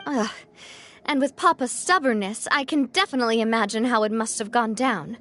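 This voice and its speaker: A woman's voice: a little high, brisk, fairly bright tone, slight background noise, close to an everyday voice. A woman voicing a game character, thoughtful and questioning. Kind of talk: game dialogue.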